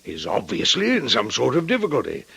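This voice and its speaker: A grave, husky older gentleman. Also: mid-range voice, wildly swooping pitch, a little fast, full character voice. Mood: grave, troubled. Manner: formal, firm. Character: grave, authoritative older gentleman, a concerned father. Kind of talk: old-time radio drama acting.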